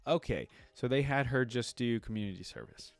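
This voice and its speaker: A man's voice: medium pitch, animated, a little fast, gravelly, no room sound, faint music behind. A man reading game lore aloud as a reaction creator, breaking off for casual conversational asides.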